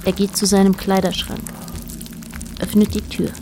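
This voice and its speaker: A woman narrating a radio play as a detached observer, in a measured, matter-of-fact way. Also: medium pitch, level tone, over music, close to an everyday voice. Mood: detached, observant. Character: detached female narrator observing a married couple.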